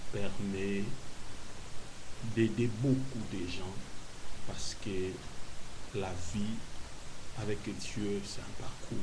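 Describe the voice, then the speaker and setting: Man from Kinshasa, hesitant, hissy tape. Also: on the low side. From Kinshasa, Congo. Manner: hesitant, many pauses and fillers. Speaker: apostle, guest preacher. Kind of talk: testimony interview. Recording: hissy broadcast recording.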